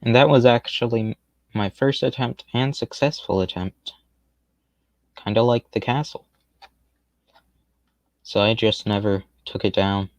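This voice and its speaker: A man casually rambling through gameplay commentary on a stream, with a fairly monotone, laid-back and self-deprecating way of talking. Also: fairly low pitch, measured pace, pauses often, no room sound. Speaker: game streamer.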